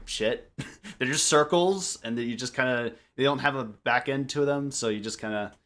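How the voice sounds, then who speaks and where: A young man chatting casually on a group podcast, telling stories in a halting, rambling way and often repeating his phrases. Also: medium pitch, a bit bright. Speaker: podcast member. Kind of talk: casual group chat storytelling.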